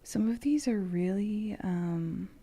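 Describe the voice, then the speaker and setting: A woman chatting casually in an ASMR style, with a soft, breathy, often whispered voice and a halting, meandering manner. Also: medium pitch, expressive. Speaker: streamer host.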